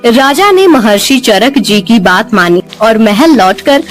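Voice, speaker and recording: A woman's voice: fairly high pitch, lively intonation, slow pace. Casting: female fairy tale narrator, scripted storytelling, very varied melody, light music bed.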